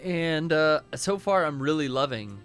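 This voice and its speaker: Man, 30s, stream co-host, playful banter, fairly high voice, faint music behind. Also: expressive, measured pace, fairly bright tone. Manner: playful, joking banter with loose restarts. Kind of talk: casual livestream chat. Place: small home room packed with records.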